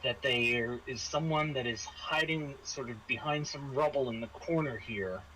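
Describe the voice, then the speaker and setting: A man running a tabletop roleplaying game, narrating casually and haltingly, often repeating words. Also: mid-range voice, bright, forceful tone, a little hiss or hum, poor audio. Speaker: game master.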